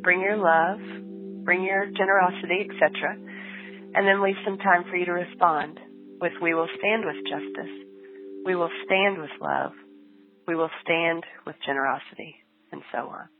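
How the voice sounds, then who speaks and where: A woman's voice: lowish voice, normal speed, soft background music. A woman leading a guided spoken prayer. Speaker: prayer leader. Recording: thin phone-like sound.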